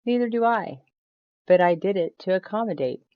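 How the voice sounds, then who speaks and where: A woman voicing a frank, brisk storybook lady who talks with conversational emphasis. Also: mid-range voice, lively intonation, pitched above the performer's own voice, lightly acted. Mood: frank, wry. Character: Sarah, nearsighted, frank woman telling a tale. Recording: roomy echo, slightly dull sound.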